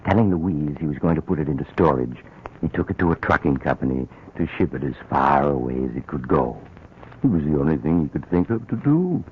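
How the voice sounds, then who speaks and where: A sardonic storyteller host, with a very deep voice and a dry, ironic delivery. There is a faint hiss on a dull old radio recording. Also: male voice, sing-song, brisk. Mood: sly. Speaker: narrator. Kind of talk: 1940s radio narration. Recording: muffled old radio broadcast.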